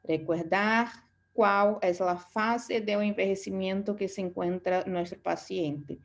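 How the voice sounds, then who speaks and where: A woman's voice: medium pitch, fairly even intonation, slow pace, gravelly. A woman from Brazil with a Brazilian Portuguese accent, a native Portuguese speaker giving an online lecture as an aesthetics instructor and searching for words in her second language.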